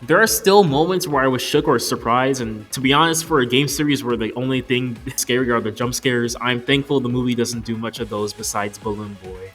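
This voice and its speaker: A man in his twenties narrates an opinionated movie review. His pitch is average and his delivery is informal, with music playing behind him. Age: 20s. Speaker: video reviewer.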